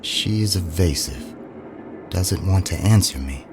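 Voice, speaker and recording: An actor playing a haunted young man who narrates a first-person audio drama in a hushed, intimate way. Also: sits low, measured pace, vocal fry. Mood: uneasy, hushed. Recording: music under the voice.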